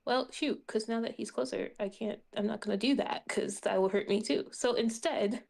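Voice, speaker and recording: A woman's voice: a little high, moderate pace, gravelly, darkish tone. A woman chatting casually as a player in a tabletop game, sounding unsure and questioning.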